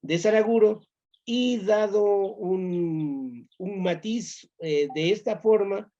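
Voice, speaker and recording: Halting man, muffled recording. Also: sits high, warm tone. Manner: hesitant, halting, many fillers. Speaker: interview guest, educator. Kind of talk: interview answers.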